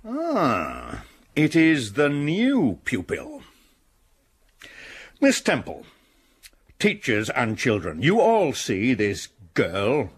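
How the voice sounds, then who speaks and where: An actor playing a stern, sanctimonious middle-aged school patron in a radio drama, preaching at the pupils. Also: male voice, medium pitch, huge pitch swings, drawn-out words, pauses often, husky, full character voice. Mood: condemning.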